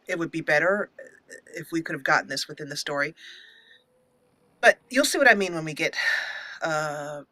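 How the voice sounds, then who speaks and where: Bright-voiced woman gamer, playful and chatty. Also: low voice, dry recording. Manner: chatty, playful mock indignation, mild joke swears. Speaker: gaming channel host. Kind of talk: casual let's play commentary.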